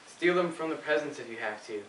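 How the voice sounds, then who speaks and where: A man's voice: fairly high pitch, lively intonation, brisk, a bit bright. A man playing a pompous, self-absorbed French king in an amateur stage play, declaiming haughtily. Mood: haughty, dismissive. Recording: distant echoey amateur recording with hiss.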